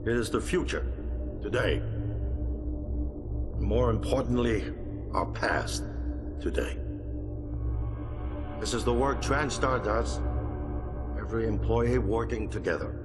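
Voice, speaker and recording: A man's voice: fairly low pitch, slow pace, pauses often. An actor playing a visionary company man in a video game, giving a grandiose, reverent promotional speech. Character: visionary corporate man extolling his work. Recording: distant, long reverb under loud music.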